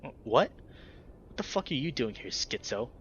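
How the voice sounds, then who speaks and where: A man voicing a foul-mouthed young bat, guarded and hostile, with a higher, clipped, cussing delivery. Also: big pitch swings, moderate pace, a bit bright, lo-fi sound, slight background noise. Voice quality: higher-pitched, clipped, swearing.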